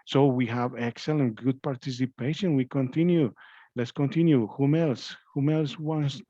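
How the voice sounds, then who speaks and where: A man's voice: mid-range voice, steady tone, moderate pace, dark tone, dry recording, dull audio. A man teaching a class, leading discussion in an effusive, encouraging way and often repeating his praise. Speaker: language teacher hosting a reading activity.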